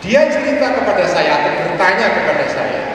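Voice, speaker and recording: A middle-aged man, a cleric giving an informal public talk with anecdotes, speaking in short phrases with pauses and dry self-deprecating humour. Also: high voice, animated. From Indonesia. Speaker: Islamic cleric, guest speaker. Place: large echoing hall at a public forum. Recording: very echoey hall, distant mic, noisy.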